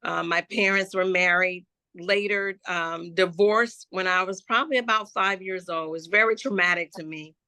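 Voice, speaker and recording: Bright-voiced woman from Florida. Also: fairly low pitch, smooth, dry recording. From Jacksonville, Florida. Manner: slow, reflective, with many restarts and fillers. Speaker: gospel hip-hop artist guest. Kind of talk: personal life testimony in an interview.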